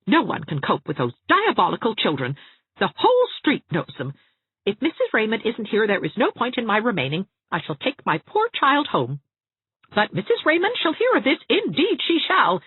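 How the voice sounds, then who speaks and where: A woman's voice: low voice, sing-song, unhurried, breathy edge, no echo, full character voice. A woman reading an audiobook, voicing an indignant, pompous middle-aged mother who speaks haughtily. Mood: haughty. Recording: dry tinny phone-like audio.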